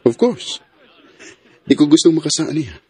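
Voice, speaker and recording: A man's voice: on the high side, expressive, measured pace, warm tone, husky, no echo, exaggerated voice. An actor playing a polite, dutiful young man in a radio drama, earnest and mixing in English. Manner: earnest, mixes English into his speech.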